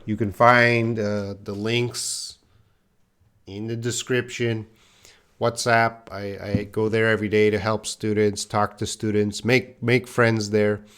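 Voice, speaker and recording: A man's voice: lowish voice, little pitch movement, takes it slowly, a bit bright, clear, resonant tone. A middle-aged man teaching a live online English class, answering learners' comments in a plain-spoken way and addressing them by name.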